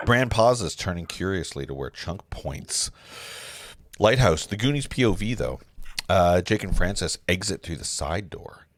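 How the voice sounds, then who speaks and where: A man's voice: deep voice, expressive, unhurried, a little hiss or hum. A man narrating stage directions in an amateur script read, stumbling over words and correcting himself.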